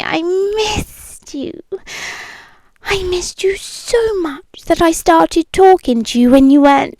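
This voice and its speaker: A woman with a British accent playing a possessive, obsessive ex-girlfriend in an ASMR roleplay, breathy and often dropping into whispers, intimate and sweetly menacing, close to the microphone. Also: high-pitched, a little mellow, no echo, full character voice. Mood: wistful, needy. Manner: slow, intimate, lingering delivery.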